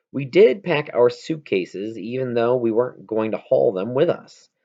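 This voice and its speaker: A man reads a children's novel aloud in a mid-pitched voice with very lively intonation. His delivery is that of an expressive amateur storyteller working from a script. The recording is somewhat dull and lo-fi, like a simple home setup. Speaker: audiobook reader.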